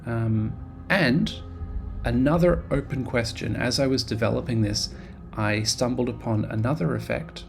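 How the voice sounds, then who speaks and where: Gravelly man rambling through a devlog. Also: medium pitch, unhurried, soft background music. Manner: rambling, self-deprecating, with many ums. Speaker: game developer vlogger. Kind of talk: casual devlog narration over screen recording.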